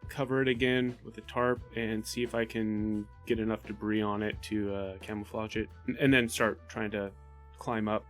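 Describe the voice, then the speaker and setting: A man's voice: fairly low pitch, flat delivery, moderate pace, faint music behind. A man playing a tabletop roleplaying game, chatting casually and hesitantly as he thinks his moves through aloud.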